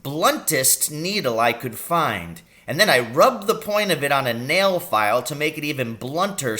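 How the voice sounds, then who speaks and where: A man's voice: a little high, pitched above the performer's own voice, exaggerated voice. A man reading an elderly country doctor who tells a story with relish. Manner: relishing, lively telling. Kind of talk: audiobook character reading.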